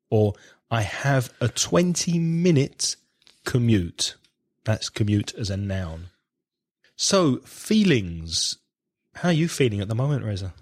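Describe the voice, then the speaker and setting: Male podcast co-host with a head cold, clear teacherly delivery. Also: mid-range voice, a little mellow. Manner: clear, explanatory, spells out words. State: blocked up with a cold.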